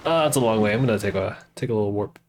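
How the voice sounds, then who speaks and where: A man's voice: on the low side, racing, slight background noise. A man giving casual live commentary as a game streamer, in short offhand remarks. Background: game music.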